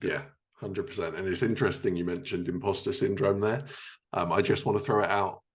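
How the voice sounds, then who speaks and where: A man's voice: deep voice, steady tone, fairly quick. A man hosting a podcast as a fellow author, chatting casually in a self-deprecating, irreverent, mildly sweary way. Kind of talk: casual chat, interviewing.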